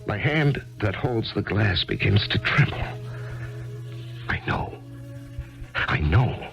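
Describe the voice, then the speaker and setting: A man's voice: fairly low pitch, very animated, slow, gravelly, fairly bright tone, full character voice. An actor playing a grieving, failed author who narrates his own story in a 1970s radio drama, hesitant and introspective. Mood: brooding. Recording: dull lo-fi 1970s radio broadcast with music.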